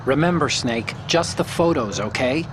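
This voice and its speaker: An actor playing an eager male hacker who explains things quickly over a radio link. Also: mid-range voice, lively intonation, background noise, lightly acted. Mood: explaining. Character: chatty male tech support hacker.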